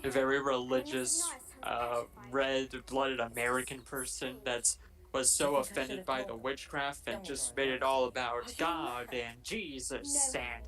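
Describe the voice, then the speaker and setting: A man's voice: medium pitch, a little slow, a bit bright, rough recording. A man giving casual commentary on a film as one of a group of panelists. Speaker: panelist on a film watch-along. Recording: film dialogue and chatter behind voice.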